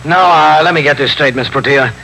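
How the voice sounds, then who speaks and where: An actor in a 1950s radio drama playing a methodical, matter-of-fact police captain who narrates and questions in a measured way. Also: male voice, on the high side, gravelly, bright, forceful tone. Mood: persistent, methodical. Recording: noisy vintage radio broadcast recording.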